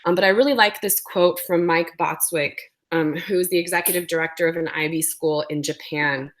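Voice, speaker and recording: A woman's voice: lowish voice, fairly even intonation, moderate pace. A woman presenting an educational webinar, speaking with a sore throat and explaining things with frequent ums.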